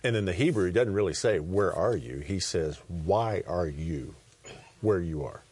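A man's voice: low voice, lively intonation, moderate pace, a little mellow, husky. A man hosting a conversational Bible talk, affirming his guest and then explaining scripture himself. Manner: affirming, chiming in with agreement.

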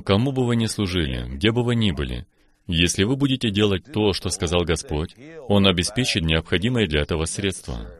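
Very deep-voiced preaching man. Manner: affirming, steady, frequent short exclamations of praise. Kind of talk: preaching conversation.

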